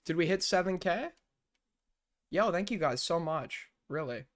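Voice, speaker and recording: A man's voice: on the high side, lively intonation, unhurried, fairly bright tone. A young man giving casual live-stream commentary, rambling and blunt, with lots of fillers and swearing. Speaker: streamer reacting to news clips.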